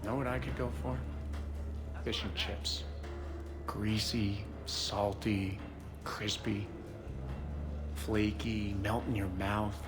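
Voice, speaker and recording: A man's voice: on the low side, level tone, slow pace, pauses often, over music. An actor playing a friendly, easygoing man in a video game, speaking casually. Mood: dreamy, relaxed. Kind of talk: video game character acting.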